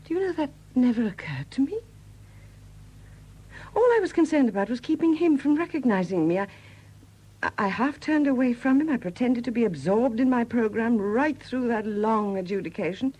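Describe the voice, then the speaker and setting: Husky lady murderer confessing, hesitant and confiding, old 70s radio play. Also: on the high side, expressive, normal speed, dull audio. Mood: tense recollection. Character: quiet confessing murderess, a Welsh sculptor's widow. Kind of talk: 1970s British radio drama acting. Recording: dated 1970s radio drama recording.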